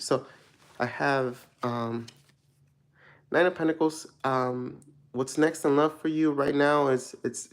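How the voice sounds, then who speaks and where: Guy from mexico reading tarot for viewers, gravelly voice, rambly and laid back. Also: mid-range voice. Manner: rambling, searching for words, many restarts; relaxed, laughs at himself. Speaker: tarot reader answering viewer questions. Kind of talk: casual live-chat tarot reading.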